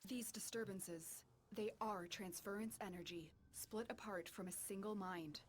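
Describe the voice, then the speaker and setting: A woman playing a composed, authoritative guide in a game drama, giving measured, clinical instruction. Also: mid-range voice, fairly even intonation, lightly acted. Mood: thoughtful.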